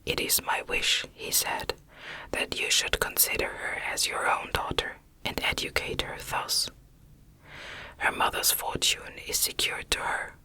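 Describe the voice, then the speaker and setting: A woman reads a classic novel as ASMR in a breathy, unvoiced whisper, gentle and measured in her phrasing. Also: rough recording. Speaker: audiobook narrator.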